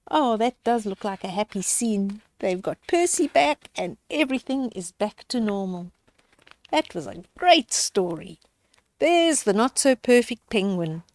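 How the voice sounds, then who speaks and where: A woman's voice: fairly high pitch, darkish tone, no room sound. An older woman reads a children's story aloud, adding simple chatty asides for young listeners. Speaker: storyteller reading children's picture books aloud.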